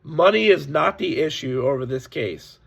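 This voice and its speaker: A hoarse man with a slightly high, gravelly voice speaks casually and candidly to his viewers. His tone is raspy and worn, complaining about a bad deal while warning his audience. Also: lively intonation, normal speed, a bit bright. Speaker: sports card seller. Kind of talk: casual direct-to-camera vlog.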